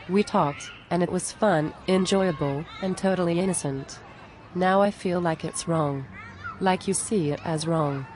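Woman playing an exasperated cartoon friend, plain-spoken, conversational, lowish pitch, faint background noise. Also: a little mellow, natural voice. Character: exasperated down-to-earth friend of a preachy guru. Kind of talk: scripted animated dialogue.

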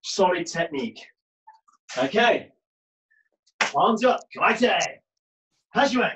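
A karate instructor calls out drills and shouts encouragement to students on a video class. He trains alongside them in a small brick-walled gym, heard from a camera across the room. A faint hiss sits under his voice. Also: high voice, animated, takes it slowly. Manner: barking encouragement and commands while training. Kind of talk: online class coaching.

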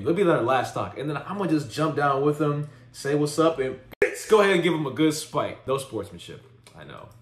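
Animated man in a roomy space. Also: medium pitch. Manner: slangy, conversational. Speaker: host of a reaction video channel. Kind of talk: casual reaction commentary.

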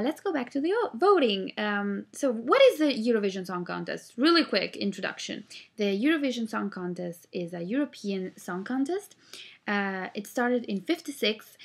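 A woman's voice: a little high, vocal fry. A young woman from Switzerland who speaks Italian natively, chatting casually to a webcam and explaining things step by step with plenty of fillers. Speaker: singer-songwriter. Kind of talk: casual vlog with screen walkthrough. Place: indoor room, webcam video.

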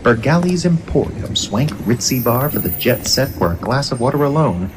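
A man's voice: medium pitch, big pitch swings, moderate pace, background noise, natural voice. A man performing as an ominous noir narrator in a scripted audio drama. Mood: dramatic, ominous. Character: noir narrator setting an ominous night scene.

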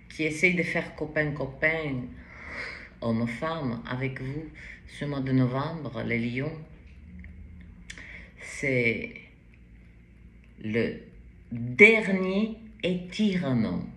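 A woman in her forties with a foreign accent, reading tarot cards to the camera in a halting, casual way with many restarts. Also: sits low, fairly bright tone. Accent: foreign accent in French. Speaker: tarot card reader. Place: bright indoor room at a table. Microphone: camera in front at table height. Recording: roomy echo, faint background hiss.

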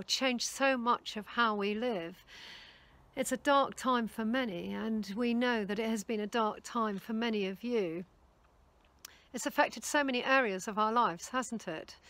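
A woman in her fifties, a charity volunteer speaker, giving an earnest, measured sermon outdoors in a garden on a lapel mic. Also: on the high side, fairly bright tone, no echo. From England. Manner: earnest, measured preaching with rising emphasis. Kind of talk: recorded sermon talk.

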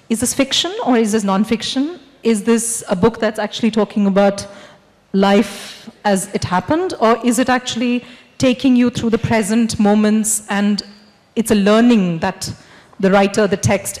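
A woman's voice: medium pitch, steady tone, moderate pace, darkish tone, long reverb tail. A middle-aged woman moderating a literature festival panel, speaking articulately into a handheld mic on a large stage. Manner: articulate, framing questions. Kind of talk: panel discussion.

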